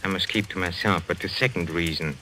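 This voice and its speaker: A man's voice: deep voice, brisk, sharp tone. A man acting in an old-time radio mystery as a courteous, faintly mocking medium, speaking. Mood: suave, mocking. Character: suave, theatrical Frenchman, a séance medium under suspicion. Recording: noisy old radio recording.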